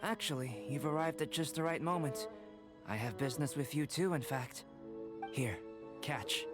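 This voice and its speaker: A man voicing a calm, cerebral young alchemist in an anime-style video game, speaking in a measured, formal, detached way. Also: a little high, close to an everyday voice. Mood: composed. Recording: music playing under the voice.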